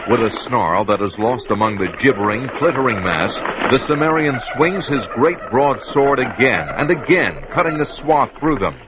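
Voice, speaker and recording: A man narrating a vintage radio adventure drama in a measured, dramatic way, on a muffled, hissy recording. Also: mid-range voice, expressive.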